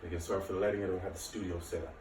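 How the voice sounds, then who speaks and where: Male fitness instructor, late 30s, very low voice, informal and reassuring, recorded at a distance from the mic. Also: flat delivery, rapid-fire. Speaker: martial arts and fitness instructor. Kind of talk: casual workout instruction to camera. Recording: echoey room, distant mic, faint noise.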